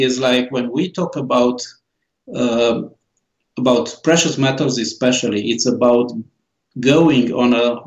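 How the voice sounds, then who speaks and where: A man in his forties from Romania with a Romanian accent, answering interview questions slowly with many pauses and fillers. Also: mid-range voice, roomy sound, rough recording. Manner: slow, halting, many fillers and restarts. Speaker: author and guest.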